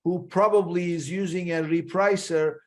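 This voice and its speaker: A man with a voice a little higher than average hosts an interview. He speaks conversationally, summing up points and putting questions as he goes. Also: steady tone, normal speed. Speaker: podcast host.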